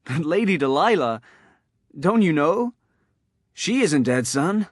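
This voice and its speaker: A man's voice: fairly high pitch, sing-song, slow pace, no echo, clean studio sound, heavily acted. An actor playing a kindly old male groundskeeper and loyal family servant in a fantasy video game, folksy and familiar. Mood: gentle, informative.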